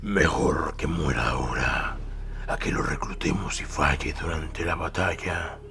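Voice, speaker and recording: Brutal veteran killer for audio drama, played by a man: very low, breathy, growling, contemptuous; noisy background. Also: fairly even intonation, a little slow. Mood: grim contempt. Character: brutal hard male killer, armored warrior who loves killing. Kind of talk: audio drama character acting.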